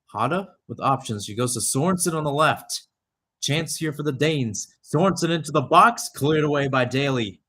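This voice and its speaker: A man's voice: a little high, expressive, normal speed, pushed and bright. A young man giving live radio-style soccer commentary, mixing clipped calls of the play with conversational asides. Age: young adult.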